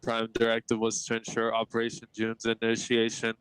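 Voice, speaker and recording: An actor playing a cold robotic drone, with clipped machine-like delivery, in an audio drama table read. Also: male voice, mid-range voice, completely flat delivery, brisk, a bit bright, smooth, dry recording, lightly acted, low-quality recording. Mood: cold, mechanical.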